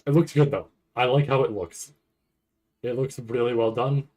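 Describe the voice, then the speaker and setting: A man's voice: medium pitch, moderate pace, a little mellow. A man streaming casual live reaction commentary, thinking aloud in a rambling, freely swearing way. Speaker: streamer reacting to an anime trailer.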